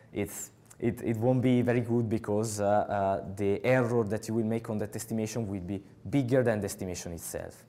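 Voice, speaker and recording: Young Italian-accented male speaker, slightly low voice, halting conference-talk delivery on a headset mic. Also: mellow. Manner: halting, many filler uhs. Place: large conference hall with audience.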